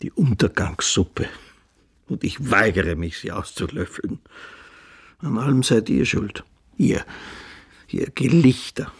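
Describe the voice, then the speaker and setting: An actor playing a ruined, bitter Austrian aristocrat in a radio play, with a gravelly, husky voice and a sardonic, declamatory manner. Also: male voice, lowish voice, lively intonation, slow, pauses often, heavily acted. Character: ruined, bitter, sardonic aristocratic count, theatrically self-mocking. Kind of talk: literary radio play monologue.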